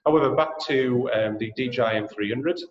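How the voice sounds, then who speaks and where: Geordie man hosting a webinar. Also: fairly quick. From Newcastle, England. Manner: friendly sales pitch with frequent ums and uhs. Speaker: webinar host and drone sales trainer. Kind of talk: presenting a webinar and answering questions. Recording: echoey, dull webinar audio.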